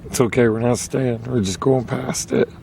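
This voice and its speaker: A man with a hoarse, failing voice, chatting casually to viewers while paddling a canoe on a river. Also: mid-range voice, steady tone, normal speed, a little mellow. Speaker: vlogger on a solo canoe trip. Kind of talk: casual talk to camera. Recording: outdoor noise of wind and water. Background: wind and water noise.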